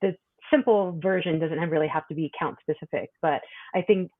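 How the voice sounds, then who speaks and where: A woman podcast guest giving conversational advice in a thoughtful, halting, hedging manner, heard over tinny call audio. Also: sits low, no echo. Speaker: podcast guest, wellness workshop leader.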